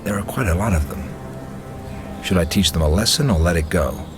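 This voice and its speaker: An actor playing a stern, cold inquisitor in a video game, speaking in a measured, commanding way. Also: male voice, sits low, music in the background, lightly acted. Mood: measured, serious. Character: stern, menacing male inquisitor on a case.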